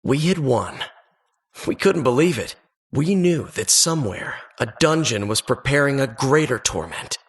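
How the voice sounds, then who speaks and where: Husky, dramatic adventure narrator. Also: male voice, mid-range voice, lively intonation, a little slow. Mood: wistful, dramatic. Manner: suspenseful, theatrical, wistful storyteller. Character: adventurer recalling childhood dungeon exploits, earnest and dramatic. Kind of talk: dramatic storybook narration for a video game.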